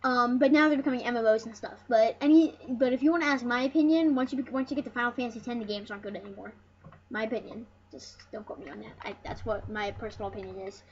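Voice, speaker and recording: A high-voiced speaker giving casual let's play commentary as host of a retro gaming series, rambling and often restarting phrases. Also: fairly even intonation, fast. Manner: rambling, repeats and corrects phrases. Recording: muffled, noisy home recording.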